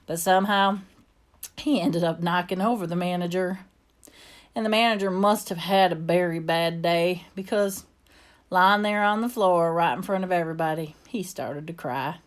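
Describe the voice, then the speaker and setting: A woman's voice: lowish voice, normal speed, natural voice. A woman voicing an earnest, plainspoken young girl with a Southern US accent, telling her story from a storybook. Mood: earnest, wondering. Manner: folksy, plainspoken. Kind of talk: first-person storybook narration.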